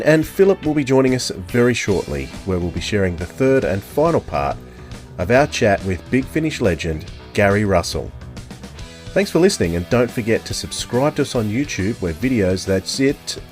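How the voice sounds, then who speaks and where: Low-voiced Australian man hosting a podcast. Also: a little mellow. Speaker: podcast host. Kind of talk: scripted podcast introduction.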